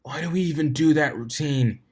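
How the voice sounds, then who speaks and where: A man's voice: fairly high pitch, moderate pace, slightly rough, pitched above the performer's own voice. Man playing a queasy, muttering stunt-flyer pony. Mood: queasy, strained. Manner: muttering through pressed lips, laboured. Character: nauseous, exhausted male stunt-flyer pony, laboriously complaining. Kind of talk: storybook character reading.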